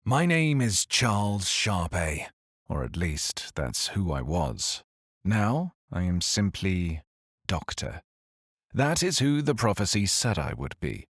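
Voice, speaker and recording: An actor playing an exiled male doctor who speaks in a calm, grave, formal monologue in a scripted audio drama. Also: low voice, husky, dry recording, studio quality, heavily acted. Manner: measured, formal confessional address. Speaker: narrator character.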